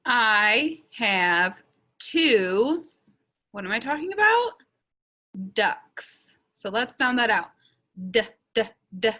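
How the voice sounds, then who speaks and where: Slow, bright-voiced woman teaching children. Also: on the high side, big pitch swings. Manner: sounds out words slowly, stretching letter sounds. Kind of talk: slow, step-by-step writing lesson for young children.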